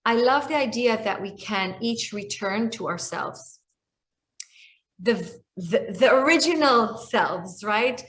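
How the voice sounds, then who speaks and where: A woman's voice: a little high, expressive, takes it slowly, fairly bright tone. Reflective woman in her forties. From Israel. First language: Hebrew. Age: 40s. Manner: reflective, many restarts and fillers. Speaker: guest speaker. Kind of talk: video call conversation. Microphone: video call.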